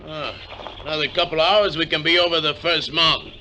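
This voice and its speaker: An actor playing a hearty, easygoing American husband and adventurer in a 1950s radio drama, folksy and reassuring. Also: male voice, fairly high pitch, fairly even intonation, a little fast, sharp tone, slight background noise, rough recording. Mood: coaxing, agreeable. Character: hearty, easygoing, oblivious husband and gold hunter.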